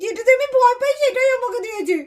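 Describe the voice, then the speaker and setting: Squeaky, fast-talking child doll. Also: female voice, darkish tone. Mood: playful, mischievous. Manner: childish, eager, repeats words. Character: squeaky, mischievous, excitable little child doll. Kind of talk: puppet doll story for children.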